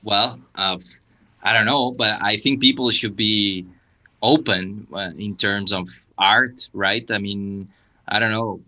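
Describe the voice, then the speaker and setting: A man from Catalonia speaking non-native English with a Catalan accent, halting and searching in a casual literary discussion. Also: on the low side, fairly even intonation, bright, forceful tone, highs cut off. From Catalonia, Spain.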